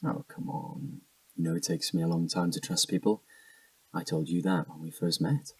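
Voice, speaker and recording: A man's voice: deep voice, steady tone, normal speed, husky, no room sound, recorded from a distance, poor audio. An actor playing an evasive, defensive young British man in a naturalistic audio drama, hesitant and slangy. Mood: guarded, friendly.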